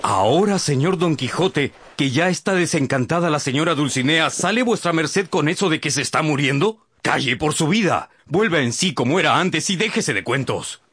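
An actor playing an educated, spirited bachelor and loyal friend, declaiming theatrically in a radio drama. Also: male voice, a little high, expressive, moderate pace, a bit bright, husky. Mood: urging.

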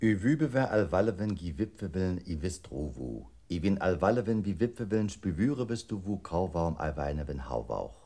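Casting: deep-voiced computer declaimer, deliberate and formal, articulating nonsense verse for sixties radio drama. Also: male voice, sing-song, warm tone, heavily acted. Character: computer memory voice declaiming distorted poetry. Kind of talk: 1960s German radio play recitation.

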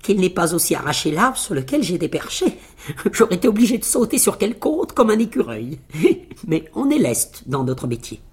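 A woman's voice: fairly low pitch, very animated, moderate pace, a little mellow, voice pushed up. A swaggering little storybook tailor, very pleased with himself played by a woman. The voice leaps well above the storyteller's own and swings widely as he brags. The delivery is theatrical and cocksure. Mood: relieved, smug. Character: cocky, boastful little male tailor, fairy-tale hero.

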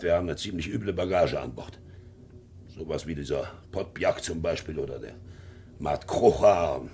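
Low, gravelly, blustering old sea captain. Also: male voice. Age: middle-aged. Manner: blustering, mocking, commanding. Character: blustering, proud ageing sea captain, domineering father. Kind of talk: 1950s German radio drama acting. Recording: noisy 1950s radio drama recording.

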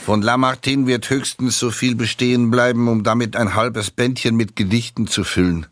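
A man performing a scripted literary recitation of scathing insults with measured, biting delivery. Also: medium pitch, steady tone, slight background noise, lightly acted. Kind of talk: scripted literary recitation, quote then author's name.

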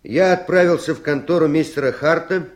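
A man's voice: a little high, fairly quick, lightly acted. A man acting a veteran police investigator giving formal, factual testimony in a radio play. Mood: matter-of-fact. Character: matter-of-fact male police investigator, veteran witness.

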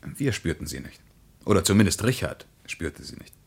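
An actor playing a reflective traveller who recounts a journey in measured, deliberate words in a literary radio play. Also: male voice, low voice, expressive, slightly rough.

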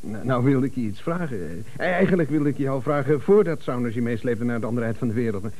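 An actor playing a hesitant, deferential and quietly cheeky export clerk in a 1970s radio comedy. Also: male voice, medium pitch, animated, fast, natural voice. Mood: shy, relieved. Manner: hesitant, obsequious to the boss.

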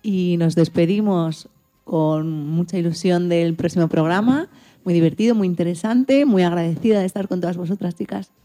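Lowish, warm-toned woman hosting radio. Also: normal speed, faint music behind. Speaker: radio show host. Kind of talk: presenting segments to listeners.

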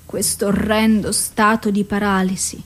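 An actress playing a broken, despairing psychiatric patient in a radio drama monologue, reciting in drawn-out, isolated words with pauses. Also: female voice, mid-range voice, flat delivery, slight background noise, lightly acted. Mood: bleak, self-loathing. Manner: incantatory list of single words, drawn out with pauses.

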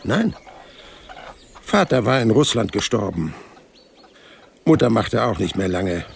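An actor playing a wry, stubborn hundred-year-old man who narrates his life in a dry, deadpan way in an audio drama. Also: on the low side, slow pace, gravelly, slight background noise, full character voice. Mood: matter-of-fact. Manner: dry, deadpan, matter-of-fact storytelling.

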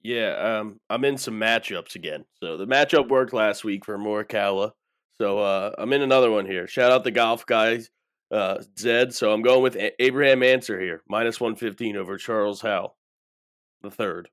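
A man on a sports betting panel lays out his picks in a steady, matter-of-fact way. He sits at a middle pitch. His recording sounds dry and close. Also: normal speed, dry recording. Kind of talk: casual panel chat.

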